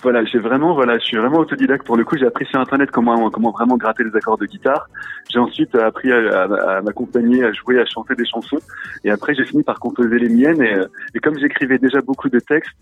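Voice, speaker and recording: A man guest on a radio interview talks fast and eagerly, filling his sentences with little hesitations. His voice comes down a tinny phone line, dry and close. Faint music plays underneath. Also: mid-range voice, husky, dry recording. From France. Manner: friendly, eager, many fillers. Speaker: singer-songwriter guest.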